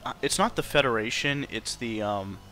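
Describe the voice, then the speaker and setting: Guy streaming an rpg, bright voice, kinda searching for words, game music low in back. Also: moderate pace. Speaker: streamer playing a role-playing game. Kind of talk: casual livestream commentary with story explanation.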